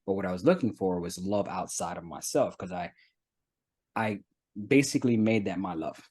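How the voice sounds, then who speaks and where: A man in his thirties from the United States shares a personal story on a chat show. His voice sits fairly low, and he speaks earnestly and reflectively, pausing to search for words. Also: lively intonation, darkish tone, slightly rough. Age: 30s. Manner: earnest, reflective, halting with fillers. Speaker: guest. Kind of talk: candid personal testimony.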